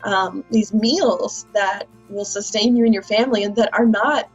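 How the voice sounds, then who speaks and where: A woman's voice: mid-range voice, normal speed, breathy edge, faint music behind. A woman talking casually as a guest, a food blogger who explains things at length with small restarts. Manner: chatty, detailed explainer. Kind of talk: casual interview chat. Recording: dull lo-fi recording.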